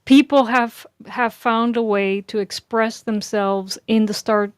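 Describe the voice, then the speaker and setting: Clear-voiced woman in casual conversation. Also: fairly high pitch, fairly even intonation, slow pace, dry recording, studio quality. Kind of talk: casual chat.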